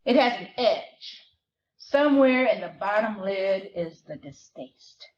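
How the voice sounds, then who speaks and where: A woman reading literary fiction dramatically, in measured, deliberate phrases with weighted pauses. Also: medium pitch, lively intonation, lo-fi sound, slight background noise. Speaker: dramatic reader at a library performance. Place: live reading at a library event.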